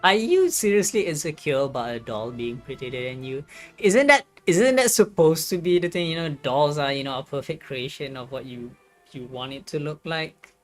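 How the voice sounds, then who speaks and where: A woman streaming a story game and chatting casually as she goes. She stumbles and repeats words in an amused way, with game music clearly playing behind her voice. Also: low-pitched, lively intonation, normal speed. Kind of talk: casual gameplay commentary and reading aloud.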